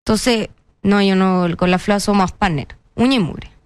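A woman's voice: medium pitch, normal speed, a little mellow, clear, resonant tone, dry recording. A young woman with a Chilean Spanish accent, chatting as an interview guest in a playful, self-mocking way. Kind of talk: casual interview chat.

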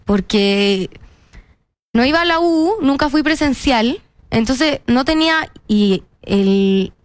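A woman's voice: high-pitched, lively intonation, slow, dry recording. A young woman with a Chilean accent, chatting casually and expressively as an interview guest, often repeating words for emphasis. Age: young adult.

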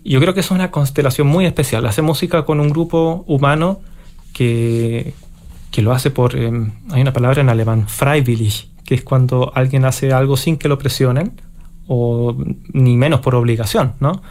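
A man from Chile with a Chilean Spanish accent, a conductor answering interview questions thoughtfully, pausing and restarting as he searches for words. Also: medium pitch, a little mellow. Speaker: orchestra conductor, interview guest.

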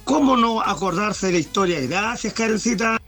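A man sharing bits of history, halting and fond as he searches for words. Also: high-pitched, expressive, a bit bright, soft background music. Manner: halting, fond storyteller recalling the past.

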